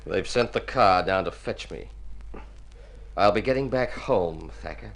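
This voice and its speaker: An actor playing a young Texan outlaw in a radio drama, with a terse, menacing, resolute manner. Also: male voice, sits low, expressive, measured pace, creaky, slight background noise, exaggerated voice. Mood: calm, sure. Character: gruff young Texan outlaw posing as a long-lost son.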